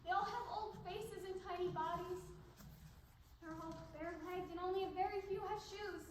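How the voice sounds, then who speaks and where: A woman's voice: very high-pitched, fairly even intonation, moderate pace. A woman acting a distressed young girl who bears witness in a stage monologue. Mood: horrified. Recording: distant echoey stage recording with noise.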